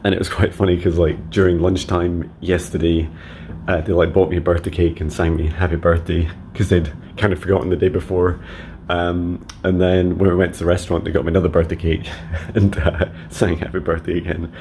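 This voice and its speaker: A man speaks in a very deep, level voice. He offers soft, slow affirmations, then drifts into chatty diary updates with plenty of ums. A faint hiss sits under the phone recording. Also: flat delivery. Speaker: psychic mentor. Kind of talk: meditation messages and video diary updates.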